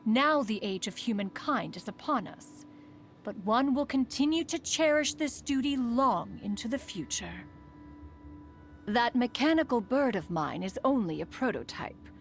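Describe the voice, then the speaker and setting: A haughty, melodic ancient immortal. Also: female voice, on the high side, expressive, a little slow, slight background noise. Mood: solemn, dignified. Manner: formal, haughty, calls herself "one". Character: proud, refined, ancient female bird immortal, aloof yet fond. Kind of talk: scripted video game character voice lines. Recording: music behind the voice.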